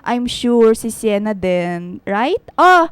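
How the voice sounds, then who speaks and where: An actress playing a bubbly, teasing young aunt in a radio drama, playfully mixing in English. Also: female voice, a little high, big pitch swings, takes it slowly, smooth, no echo.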